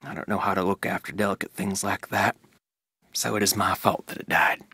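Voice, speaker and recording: A man's voice: low-pitched, little pitch movement, a little fast, pushed and bright, slightly rough, no room sound, exaggerated voice. An actor playing a stern, grieving 1880s rancher father, reading journal entries with a rural Western American accent in a plain, folksy way. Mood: regretful, sorrowful. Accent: rural American West. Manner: plain-spoken, rustic, folksy.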